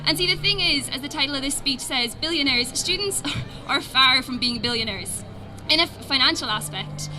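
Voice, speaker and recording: A young Irish woman, a student, giving a prepared speech in a lively way with light laughs. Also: high-pitched, moderate pace, poor audio. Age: young adult. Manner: lively, laughing while explaining costs. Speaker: student speaker. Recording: music and crowd behind.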